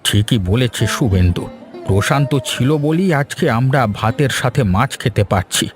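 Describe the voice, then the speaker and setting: A kindly old village grandfather in a cartoon folk tale, acted by a man. The voice is dropped low and has faint music behind it. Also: expressive, normal speed, close to an everyday voice. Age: older. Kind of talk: animated moral story voice acting.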